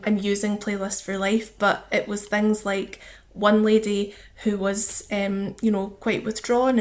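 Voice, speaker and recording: Female charity spokesperson for a radio interview: mid-pitched, fairly level delivery, earnest and explanatory. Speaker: charity communities officer, interview guest.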